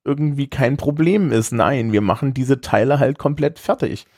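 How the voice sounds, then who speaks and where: A man's voice: mid-range voice, normal speed, darkish tone, dry recording, clean studio sound. A man with a light Thuringian accent co-hosting a casual tech podcast, wry and self-deprecating. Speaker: podcast co-host. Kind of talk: casual chat.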